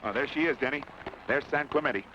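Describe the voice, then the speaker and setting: An actor playing a calm western ranger who speaks in a dry, laconic way, in 1940s radio acting. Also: male voice, medium pitch, brisk, slight background noise, natural voice. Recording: muffled old radio from a distance.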